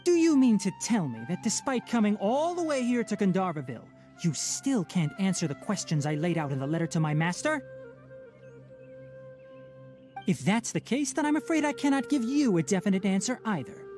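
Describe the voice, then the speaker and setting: A scholarly young forest watcher in a game. His voice is soft-edged and mellow, politely firm, with animated intonation and light music behind. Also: high-pitched, brisk, hardly any pauses. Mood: firm, skeptical. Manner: polite, composed, articulate. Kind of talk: video game cutscene voice acting.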